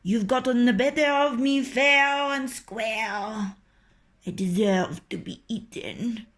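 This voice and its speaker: A woman's voice: on the high side, a bit bright, voice pushed up, heavily acted. A woman doing a pleading, crafty rooster. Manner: wheedling, pleading. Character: clever proud male rooster, pleading.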